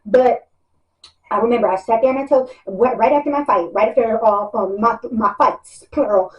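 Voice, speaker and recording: A woman's voice: a little high, normal speed, dull audio, a little hiss or hum. A woman in a casual rant, blunt and profane, repeating her phrases for emphasis. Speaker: reality show cast member. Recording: roomy echo, distant from mic.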